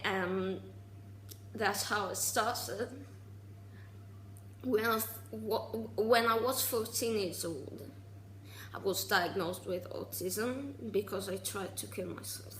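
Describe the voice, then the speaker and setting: A woman around twenty talking casually in a personal vlog, halting and restarting, with sarcastic asides. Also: mid-range voice, fairly bright tone, roomy sound, slight background noise, poor audio. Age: 20s. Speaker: vlogger.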